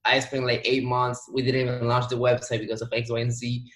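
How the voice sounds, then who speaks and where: A man's voice: medium pitch, monotone, fairly quick, a bit bright. A man, an entrepreneur guest giving motivational advice in a casual interview, repeating words for emphasis. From Dominican Republic. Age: 20s. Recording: roomy echo.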